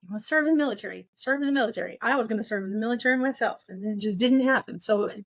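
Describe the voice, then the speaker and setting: A woman's voice: a little high, very fast, no echo. A woman co-hosting a casual book discussion, making her points emphatically and repeating them for stress. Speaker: podcast co-host.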